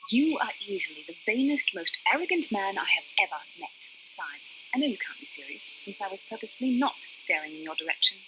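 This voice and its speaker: A woman's voice: mid-range voice, sing-song, brisk, hardly any pauses, background noise, natural voice. A woman playing a proud, earnest young Jedi with guarded feelings in a video game, speaking in a formal, lecturing way. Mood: haughty, indignant. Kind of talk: dramatic video game dialogue acting.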